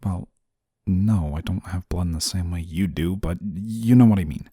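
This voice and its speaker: A stern, dutiful male guardian angel, acted by a man in an intimate audio roleplay. His voice is low, soft-toned and husky. He speaks formally, with a prim reserve that easily turns flustered. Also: normal speed, dry recording, studio quality. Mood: exasperated, dutiful. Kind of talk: scripted audio roleplay, intimate one-sided dialogue.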